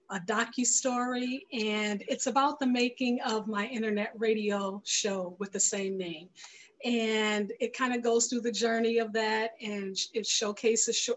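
Female, middle-aged, slightly high voice, filmmaker guest in informal video-call conversation. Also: poor audio. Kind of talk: casual interview introduction and chat. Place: indoor room, video call.

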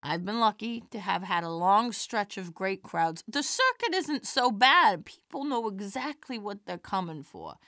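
A woman playing an elderly, kindly widowed stand-up comedienne in an audio drama, chatty and animated. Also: medium pitch, a little slow, few pauses, no echo, clean studio sound. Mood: sympathetic, candid.

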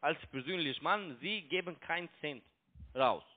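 Higher-pitched foreign-accented man on tinny phone. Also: lively intonation, a little slow. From outside Germany. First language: not German. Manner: broken German, defensive, repetitive. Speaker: phone scam caller. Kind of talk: argumentative phone conversation. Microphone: telephone. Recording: tinny narrowband phone line.

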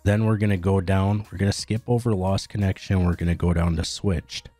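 A man narrating a step-by-step software tutorial in a plain, instructional way. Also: deep voice, fairly even intonation, fairly quick, no echo, faint music behind. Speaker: tutorial host.

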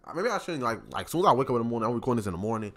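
Brash, cursing man with a raspy morning voice. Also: medium pitch, sing-song, very fast, soft background music. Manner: brash, loud, cursing, mocking. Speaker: gaming channel host. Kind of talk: profane comedic let's-play commentary.

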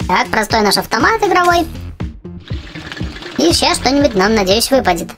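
A youthful-sounding gaming host giving excited, chatty gameplay commentary, often exclaiming and repeating phrases. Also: mid-range voice, normal speed, over music. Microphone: voice-over on game footage.

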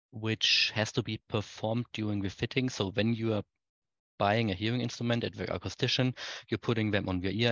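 A man with a fairly low voice delivers a technical keynote over a video call. He explains step by step in hesitant, halting phrases. The sound is close and dry but somewhat muffled. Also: fairly bright tone, no echo. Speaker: conference speaker. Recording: dull, muffled lo-fi online-call audio.

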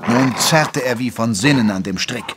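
A male actor plays a detective's companion telling the tale in an audio drama. He is wry and literate in a mid-pitched voice, with faint noise behind him. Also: normal speed, natural voice. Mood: wry, suspenseful narration. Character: detective's gentlemanly doctor companion, narrating with wry wit. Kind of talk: dramatic scripted narration.

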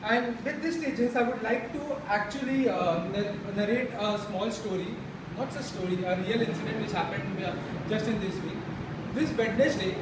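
A young man who stammers and restarts words, giving a personal speech to a support group in a classroom. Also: high-pitched. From India. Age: young adult. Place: classroom with a chalkboard. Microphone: far camera. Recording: echoey room from far off, background noise.

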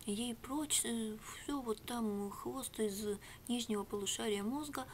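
A middle-aged woman rambling through a sarcastic product review in a home room, switching between a whisper and a soft speaking voice. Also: fairly high pitch, slow pace, slight background noise. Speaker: ASMR vlogger. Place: small home room with rug on wall. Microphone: webcam at arm's length.

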